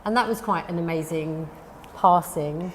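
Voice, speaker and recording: A woman's voice: lowish voice, normal speed. A woman hosting a gallery interview, encouraging, with frequent backchannel yeahs. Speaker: gallery host. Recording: long reverb, faint background noise.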